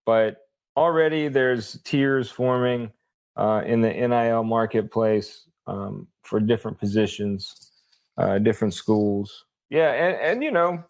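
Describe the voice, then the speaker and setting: A man, a sports journalist guest, giving casual unscripted commentary in a halting, think-aloud manner. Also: medium pitch.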